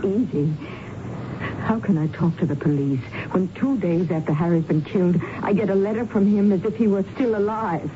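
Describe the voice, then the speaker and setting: An actress playing a distressed, defensive bereaved wife in a 1970s radio drama, speaking in a halting, guarded, pleading way. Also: female voice, mid-range voice, close to an everyday voice. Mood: distraught, pleading. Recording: noisy, dull lo-fi radio broadcast.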